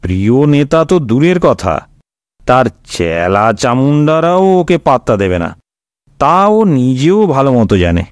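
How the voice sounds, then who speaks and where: A man's voice: mid-range voice, big pitch swings, measured pace, clear, resonant tone, no room sound, studio quality. A man with an Indian Bengali accent telling scripted satirical stories in a colloquial, wry, explanatory way. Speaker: comic storyteller.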